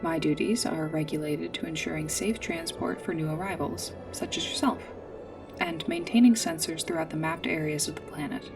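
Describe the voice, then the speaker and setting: A woman playing a polite android guide in a sci-fi ASMR roleplay, speaking in a formal, precise, measured way. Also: sits low, animated, gravelly, recorded from a distance. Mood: matter-of-fact. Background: music.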